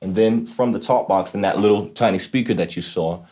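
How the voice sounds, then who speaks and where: A man's voice: lowish voice, brisk, dark tone. A man hosting an instructional demonstration, explaining things informally and often checking in with the listener. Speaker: host of a talk box tutorial series.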